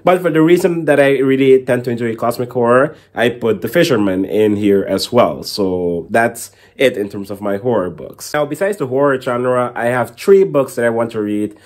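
Young male book vlogger, mid-pitched voice, casual and a little rambling, speaking to camera at home. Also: normal speed, few pauses, a little mellow. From Caribbean. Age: young adult. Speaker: book reviewer and channel host. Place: small indoor room at home with bookshelf.

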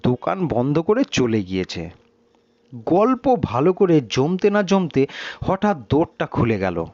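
A man's voice: fairly high pitch, wildly swooping pitch, unhurried, darkish tone, dry recording. A man reading a story aloud as a dramatic audiobook narrator. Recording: slightly dull, muffled sound.

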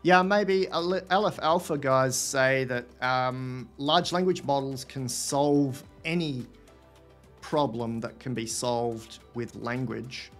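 Man hosting a casual livestream Q&A. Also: medium pitch. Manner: casual, thinks aloud, reads out viewer questions. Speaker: host answering viewer questions. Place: room at home, indoors.

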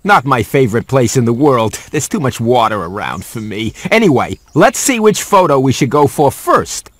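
A man's voice: medium pitch, big pitch swings, moderate pace, no room sound. A man voicing a streetwise, wisecracking animal sidekick in a game, with slangy, grumbling, wry delivery. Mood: wry, instructive. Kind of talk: scripted game cutscene dialogue.

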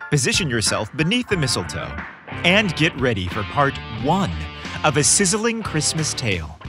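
A man's voice: fairly high pitch, wildly swooping pitch, normal speed, music in the background. A man hosting a story podcast, reading scripted narration with a playful, theatrical delivery, close on the microphone.

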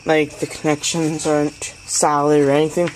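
A man's voice: a little high, monotone, moderate pace. Young guy, noisy night recording. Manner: rambling, slangy. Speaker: hobbyist vlogger. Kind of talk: casual product review chat. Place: outdoors at night by a garage. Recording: noisy outdoor recording with insects.

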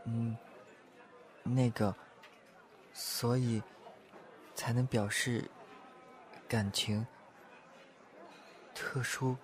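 A man playing a gentle, reserved young man in an audio drama, speaking candidly and softly in measured, short phrases. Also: mid-range voice, little pitch movement. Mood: hesitant, tender. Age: young adult. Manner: soft-spoken, measured, pausing between short phrases. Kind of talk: Chinese audio drama acting, confessional. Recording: music and crowd chatter behind voice. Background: music and crowd.

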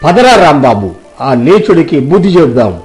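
A man's voice: a little high, big pitch swings, normal speed, darkish tone, soft background music. A man playing an indignant, righteous father who defends wronged elders in a dramatised moral story, speaking emphatically and declaiming. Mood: indignant resolve.

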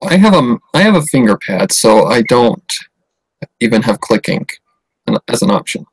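A man's voice: mid-range voice, sing-song, normal speed, husky, no echo, low-quality recording. A man hosting a livestream and chatting casually while playing a game, giggling and reacting to the dialogue. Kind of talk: casual gameplay commentary.

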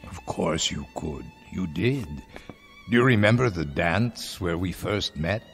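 An actor playing a frail, gentle elderly husband in a radio drama, hesitant and questioning. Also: male voice, sits low, expressive, unhurried, husky, soft background music, heavily acted. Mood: tender. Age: older.

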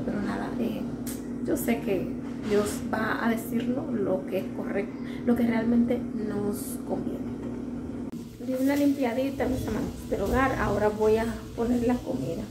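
A woman's voice: a little high, heavy background noise. A woman in her thirties chatting candidly to a handheld phone camera while doing chores at home, her throat dry and sore. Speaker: home vlogger. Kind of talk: casual chat to camera. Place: small home, indoors. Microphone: handheld phone camera at arm's length. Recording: music in the background.

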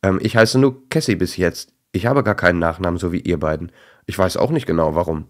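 A man's voice: deep voice, fairly quick, close to an everyday voice. A man voicing a tiny, friendly, slightly hesitant female dog in a children's story.

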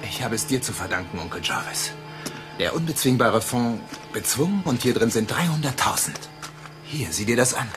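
A man's voice: medium pitch, lively intonation, moderate pace, over music, natural voice. A man playing a weak, lovestruck, scheming nephew in a German crime audio drama, emotional in his delivery. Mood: excited relief.

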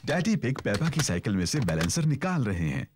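A man's voice: medium pitch, very animated, a little fast, noisy background, close to an everyday voice. A man narrating a preschool cartoon as a scripted children's story. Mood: cheerful narration.

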